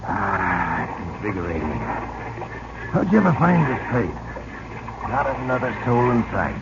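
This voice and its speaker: A man's voice: on the low side, sing-song, measured pace, creaky, heavily acted. An actor playing a hearty, boastful older businessman in a 1940s radio drama, with a jovial, avuncular manner. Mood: excited, teasing. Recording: dull, noisy 1940s radio recording.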